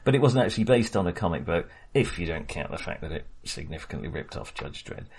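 A man with a low, slightly husky voice talks through a film with a co-host. He rambles, restarts his sentences and chuckles between points in an easy, casual way. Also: animated, fast, darkish tone. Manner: rambling, restarts often, laughs while talking. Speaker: podcast co-host.

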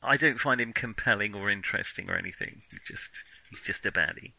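A man casually discussing films as a podcast host, speaking in halting, hesitant phrases. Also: lowish voice, creaky, bright, forceful tone, dry recording, poor audio.

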